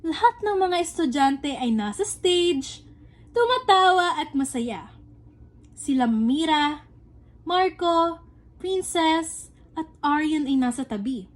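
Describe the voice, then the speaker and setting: A woman's voice: high voice, animated, takes it slowly. A young woman reading scripted narration for a student-style radio drama.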